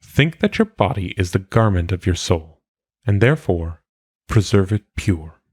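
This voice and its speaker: Deep male narrator reading old maxims, solemn and measured, super dry close sound. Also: big pitch swings, darkish tone, breathy edge, no room sound. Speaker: reader. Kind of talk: solemn audiobook-style reading of philosophical maxims.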